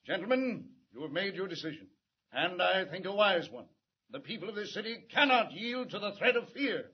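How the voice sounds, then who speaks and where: An actor playing an authoritative but flustered city commissioner, formal and emphatic in 1940s radio serial style. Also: male voice, sits high, expressive, normal speed, slightly rough. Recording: muffled old radio recording with crowd.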